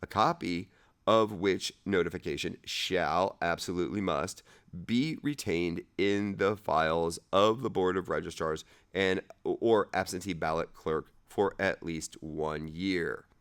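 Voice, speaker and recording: A man reading legal text aloud haltingly, rereading and correcting himself, with irreverent, offhand commentary of his own. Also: low-pitched, clean tone. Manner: irreverent, sarcastic asides. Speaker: amateur reader of a legal document.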